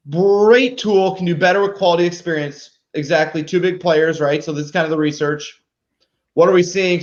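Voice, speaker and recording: Higher-voiced man hosting, dull lo-fi audio. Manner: peppers talk with tag questions seeking agreement. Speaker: host of a live marketing show. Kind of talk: casual presentation and critique.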